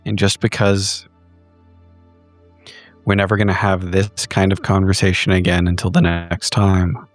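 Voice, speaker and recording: A man playing a grown daughter, speaking in a gentle, earnest and consoling way. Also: low-pitched, flat delivery, a little slow, long pauses, no room sound, faint music behind. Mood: tender, reassuring. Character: tender, reassuring grown daughter.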